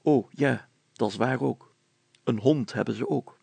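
A man's voice: medium pitch, a little slow, vocal fry, darkish tone, slightly rough, no echo, heavily acted. A man voicing a fussy, self-important older village mayor in a storybook, insistent and exclamatory. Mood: worried.

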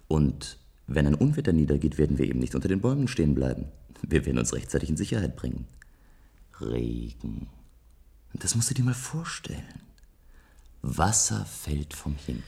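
An actor playing a restless man of a future society who longs for adventure, musing deliberately in a German science-fiction radio play. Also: very deep voice, creaky, dark tone, husky, full character voice. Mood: insistent, dreamy.

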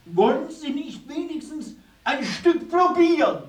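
High, slow, indignant restaurateur. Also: female voice, very animated, reverberant, recorded from a distance. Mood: wounded, insistent. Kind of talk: radio play acting.

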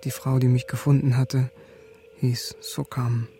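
A man acts a haunted, resolute soldier in a radio drama. His voice is mellow and slightly gravelly, with little rise or fall. He recalls things tersely and soberly. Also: medium pitch, flat delivery, unhurried, faint music behind, natural voice. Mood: sombre, restrained. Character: war-worn male sergeant, haunted, stoic, resolute. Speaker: defendant and narrator. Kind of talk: German radio drama acting, first-person recollection.

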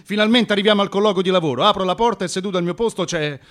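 A man with a high voice performs a comic radio monologue. He narrates in the first person, theatrical yet colloquial, like an actor telling his own tale. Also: racing, a bit bright.

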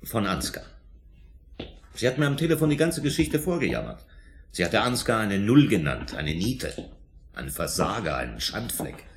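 A man's voice: fairly low pitch, big pitch swings, normal speed. A man acting in a German radio drama as a defensive, indignant suspect under police questioning, emphatic and self-justifying. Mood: defensive, explaining.

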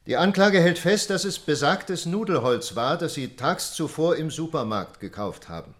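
An actor playing a stern, probing court prosecutor who lays out the case deliberately and insistently in a radio drama. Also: male voice, on the high side, lively intonation, lightly acted.

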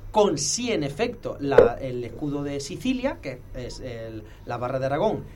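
A man's voice: mid-range voice, normal speed, very noisy. A man explaining things informally in a didactic way, often correcting himself and checking that he is followed. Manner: didactic, animated asides, self-correcting, checks with "¿no?". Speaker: guest expert on heraldry history.

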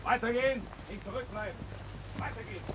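An actor playing a stern, officious prison guard in a radio drama, giving curt, commanding orders. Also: male voice, very high voice, fast talker. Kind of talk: German radio play acting. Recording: clear noise, street ambience behind.

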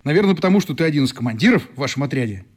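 An actor playing a sympathetic, frank man with a husky voice who explains things earnestly in a radio play. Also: fairly high pitch, animated, racing. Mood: frank, confiding.

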